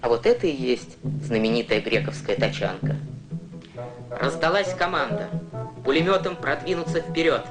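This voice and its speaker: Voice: medium pitch, normal speed. A narrator reading a scripted educational documentary in a storytelling way for young viewers. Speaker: newsreel narrator. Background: prominent music.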